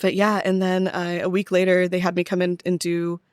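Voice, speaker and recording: A woman's voice: fairly low pitch, completely flat delivery, brisk, clear, resonant tone, no echo, clean studio sound. A young American woman telling stories casually and chattily as a podcast guest, as a touring theatre actress would. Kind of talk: casual conversational storytelling.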